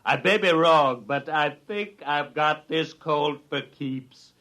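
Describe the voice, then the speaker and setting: A man's voice: fairly high pitch, slow pace, sharp tone. A man playing a sheepish researcher in a 1950s radio drama. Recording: muffled old radio broadcast recording.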